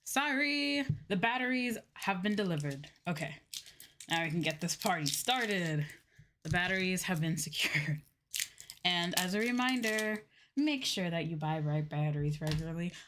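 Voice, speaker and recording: A woman's voice: lowish voice, lively intonation, normal speed, a bit bright, a little hiss or hum. A woman chatting casually and with some self-deprecation as she livestreams a video game. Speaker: streamer, virtual YouTuber. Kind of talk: casual livestream gaming chat. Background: game music behind the voice.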